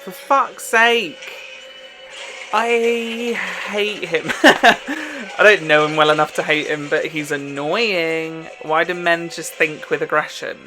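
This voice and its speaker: A man hosting a TV reaction video, blunt and quick to swear as he reacts. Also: on the high side. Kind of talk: casual TV reaction commentary. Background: music from the show.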